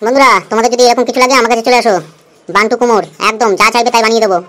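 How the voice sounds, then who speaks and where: A woman voicing a brisk, boastful male village potter in a cartoon, hurried and self-assured. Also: fairly high pitch, steady tone, a bit bright, lightly acted. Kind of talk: cartoon voice acting.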